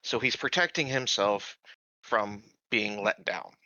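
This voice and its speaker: Very bright, lowish-voiced man playing a tabletop game. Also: a little slow, no echo, highs cut off. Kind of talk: casual in-game roleplay talk.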